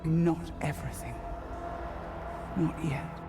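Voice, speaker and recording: An ancient spider spirit in a fantasy game, seductive and full of menace. She speaks slowly, slipping into breathy whispers, with strong music behind her. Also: low-pitched. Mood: cold, teasing menace. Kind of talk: dramatic fantasy game acting.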